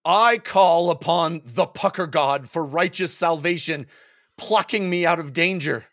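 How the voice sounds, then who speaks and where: A man voicing excitable nerdy male tabletop gamers, exclaiming and mock-heroic in a comic dramatic reading. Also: a little high, measured pace, no room sound, studio quality, pitched above the performer's own voice. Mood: pompous, urgent.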